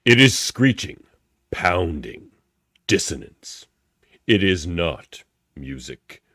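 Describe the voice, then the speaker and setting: A man playing a gruff, disgusted alien warrior officer in a radio play, halting emphatically word by word. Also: low voice, very animated, a bit bright, dry recording, clean studio sound, full character voice.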